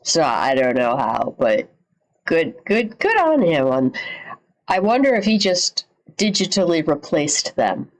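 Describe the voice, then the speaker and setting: A woman's voice: low-pitched, very animated, unhurried, low-quality recording. A woman livestream host giving casual, slangy reaction commentary, repeating phrases and swearing a little.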